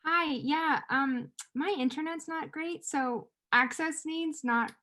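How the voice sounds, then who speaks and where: A woman with a higher voice joins a video call. She speaks in an apologetic, casual way. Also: takes it slowly, pushed and bright. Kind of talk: casual online group check-in.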